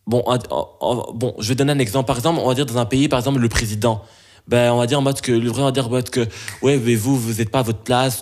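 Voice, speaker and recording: Halting young Belgian man, mid pitch. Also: a little mellow. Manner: halting, many restarts and fillers. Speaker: interviewee. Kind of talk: hesitant interview answers.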